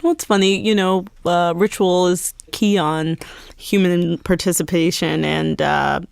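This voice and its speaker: A woman's voice: fairly low pitch, steady tone, unhurried, vocal fry, clean tone, no echo, clean studio sound. A woman giving thoughtful, articulate explanations as a guest in an interview. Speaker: guest theater director.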